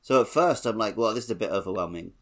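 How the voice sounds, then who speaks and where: A man's voice: fairly low pitch, fast. A man co-hosting a casual video game podcast in a chatty, tongue-in-cheek way. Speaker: podcast co-host.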